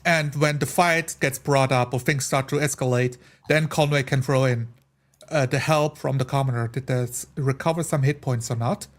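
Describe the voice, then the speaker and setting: A man's voice: medium pitch, steady tone, normal speed. A man chatting casually as a tabletop player, explaining his points and voicing hypothetical thoughts. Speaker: tabletop role-playing player. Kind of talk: casual chat.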